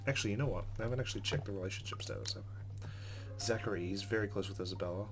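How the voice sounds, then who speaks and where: A man reading visual novel narration aloud as a let's player, measured and reflective, with music playing behind him. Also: on the low side.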